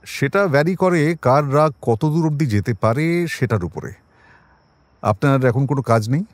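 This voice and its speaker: An actor in an audio drama playing a composed police detective who questions people politely but with quiet suspicion. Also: male voice, mid-range voice, lively intonation, normal speed, dry recording, lightly acted. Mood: probing, composed.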